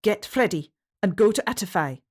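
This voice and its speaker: A stern Scottish shepherd, put on by a woman reading a storybook aloud, snaps out short, firm commands in a raised, carrying voice. He guards his family and flock, and his words come clipped and forceful. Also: high voice, expressive, moderate pace, voice pushed up. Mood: urgent. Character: terse Scottish shepherd man, protective of family.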